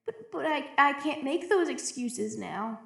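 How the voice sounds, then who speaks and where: Woman acting frightened, stammering, high-voiced isolated researcher. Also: lively intonation, a little slow, gravelly, full character voice. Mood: hushed dread. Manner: hesitant, stammering, anxious. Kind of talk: audio drama, recorded log monologue. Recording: echoey roomy recording.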